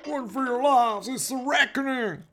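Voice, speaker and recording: Guy doing a jumpy male security guard, high hollering voice, radio drama. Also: a little slow, fairly bright tone, soft background music, full character voice. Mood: panicked.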